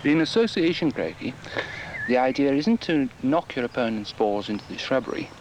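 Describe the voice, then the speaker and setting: A man's voice: mid-range voice, expressive, moderate pace. Matter-of-fact man explaining on old radio. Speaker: croquet expert guest. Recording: dull, lo-fi archive radio with faint hiss.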